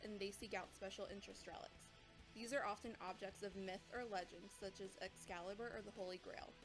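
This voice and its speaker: A woman playing a composed, patient academy instructor, lecturing in a measured, authoritative way as scripted drama. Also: medium pitch, close to an everyday voice, poor audio. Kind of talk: scripted audio drama dialogue.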